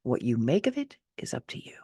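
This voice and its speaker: A middle-aged woman reading her own personal essay as scripted memoir narration, expressive and candid, with wry touches and deliberate emphasis. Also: rumbling low, breathy edge, no room sound, clean studio sound.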